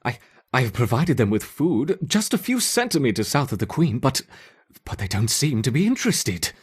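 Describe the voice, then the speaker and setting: Doting nerdy scientist guy for a game, husky, kinda stammery, really animated. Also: mid-range voice, moderate pace. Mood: worried. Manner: hesitant, stammering, fussing over his subjects. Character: eager, doting male scientist tending an ant colony. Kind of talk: video game voice acting.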